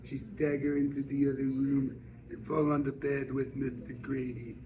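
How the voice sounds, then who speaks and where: A man reading a first-person novel aloud as an amateur narrator, halting, with stumbles and repeated words. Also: medium pitch, little pitch movement. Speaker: amateur audiobook reader. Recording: faint background noise.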